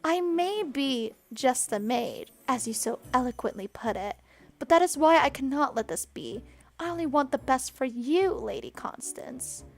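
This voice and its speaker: A woman voicing a calm, poised maid who speaks smoothly and gently scolds. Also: sits high, normal speed. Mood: soothing. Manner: smooth, soothing, genteel.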